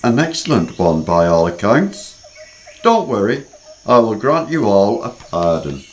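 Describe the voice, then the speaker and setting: A man's voice: fairly low pitch, sing-song, measured pace. An amateur actor playing a courteous, dignified king in a radio play. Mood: regal. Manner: stately, courteous. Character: gracious male king, dignified. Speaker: King Richard.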